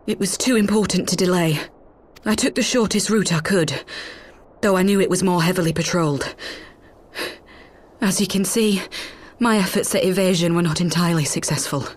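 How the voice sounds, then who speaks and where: Low-voiced, weary rebel messenger woman. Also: moderate pace, slightly rough. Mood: pained, earnest. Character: brave wounded young female rebel messenger, earnest and urgent.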